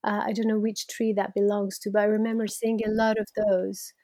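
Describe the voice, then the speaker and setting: A woman's voice: medium pitch, little pitch movement, brisk, dry recording. Reflective woman from Iran, dry sound. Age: 30s. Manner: warm, reflective, laughs easily. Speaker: guest. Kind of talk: conversational interview.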